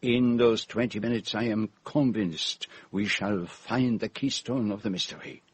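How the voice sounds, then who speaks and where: An actor playing a shrewd, theatrical middle-aged detective with a Belgian French accent, speaking in formal, emphatic phrases in a radio drama. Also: male voice, on the low side, steady tone, unhurried, slight background noise, close to an everyday voice. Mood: excited certainty. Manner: emphatic, precise, formal phrasing with rhetorical questions.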